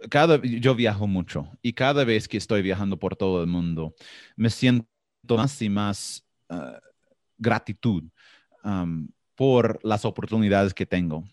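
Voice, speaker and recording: A man from Florida with an American accent and English as his first language, answering interview questions haltingly as he searches for words in a second language. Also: fairly low pitch, a little mellow, no room sound, clean studio sound. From Florida, United States. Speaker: podcast guest.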